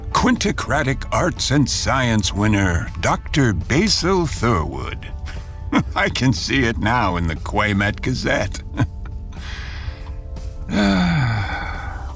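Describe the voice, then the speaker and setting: An actor playing a genial, fair-minded professor in a radio drama, measured and genteel. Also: male voice, fairly low pitch, vocal fry, fairly bright tone, exaggerated voice. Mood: delighted. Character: genial, fair-minded male professor, loyal old friend. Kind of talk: cinematic radio drama acting.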